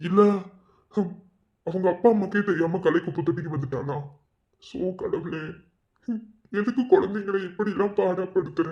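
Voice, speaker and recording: A man's voice: high voice, moderate pace, pauses often, highs cut off, lightly acted. A man playing a concerned young husband and father in a family melodrama, chiding yet reassuring. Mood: pensive. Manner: chiding, then soothing. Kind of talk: melodramatic family serial acting.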